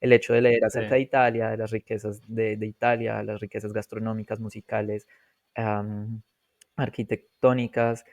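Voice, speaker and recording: A Colombian man in his twenties, a podcast guest telling his story in a relaxed way, laughing often and pausing to reflect. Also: fairly low pitch, no echo. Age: 20s. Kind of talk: casual interview storytelling.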